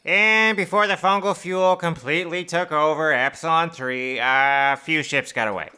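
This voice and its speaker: An actor playing a fussy, excitable official in a comic audio drama. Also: male voice, on the high side, normal speed.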